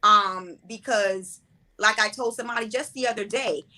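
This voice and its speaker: Bright-voiced woman in her forties. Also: medium pitch, normal speed. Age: 40s. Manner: relaxed, reflective, conversational with fillers. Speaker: playwright and director guest. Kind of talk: casual interview answers.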